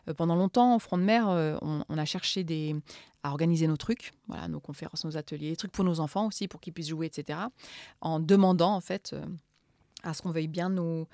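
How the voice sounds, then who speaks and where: A middle-aged woman from Morocco gives long, reflective interview answers in a lowish voice. She hesitates often and repeats small words while she looks for the right phrase. The recording is dry and close, with no room echo. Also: mellow. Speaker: author and political scientist.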